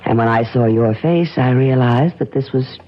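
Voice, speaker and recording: An actress playing a scheming, greedy, murderous wife in a 1970s radio drama. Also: female voice, a bass voice, normal speed. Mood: cool, gloating. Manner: cool and teasing, theatrically distraught when pretending grief. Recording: dull, noisy old radio recording.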